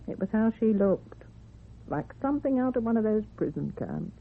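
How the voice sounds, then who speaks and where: Chatty landlady, high husky voice, lively swings, radio drama, tinny old recording. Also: full character voice. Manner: talkative, animated. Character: chatty, fussing landlady, matter-of-fact mother.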